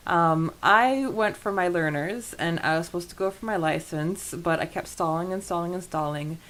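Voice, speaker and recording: A woman co-hosting a podcast, talking in a chatty, informal way about a book. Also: fairly low pitch. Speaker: podcast co-host. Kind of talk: casual book discussion chat.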